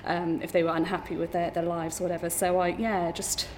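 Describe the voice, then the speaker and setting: A woman's voice: fairly low pitch, little pitch movement, a little fast, a little mellow, slight background noise. A middle-aged woman, an amateur theatre actor, chatting in an interview, hesitant and self-deprecating. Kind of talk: casual interview.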